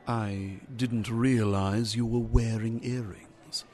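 A man's voice: lowish voice, steady tone, takes it slowly, a little mellow. A man playing a formal, guarded butler with a terse manner in a radio theater drama. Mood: politely formal.

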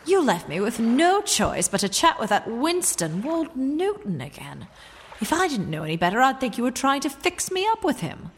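Lively, feisty radio-serial girl Friday. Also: high voice, normal speed, slightly rough, full character voice. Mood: annoyed, suspicious. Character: feisty, exasperated girl Friday to a playboy hero. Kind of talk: 1940s radio serial acting.

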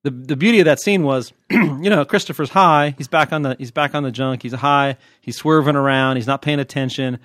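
A man's voice: medium pitch, brisk, hardly any pauses, a bit bright, no echo, clean studio sound. An American man hosting a podcast, chatting casually and off the cuff in a joking, irreverent and digressive way, with some mild swearing. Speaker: podcast host, TV critic. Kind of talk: casual, unscripted podcast chat.

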